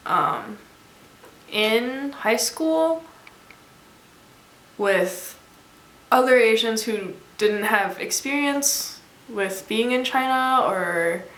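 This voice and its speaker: Young woman, native Mandarin speaker, bright and soft-spoken, halting delivery, echoey room. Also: mid-range voice. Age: young adult. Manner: soft-spoken, hesitant, many fillers. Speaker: college student. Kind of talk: documentary interview.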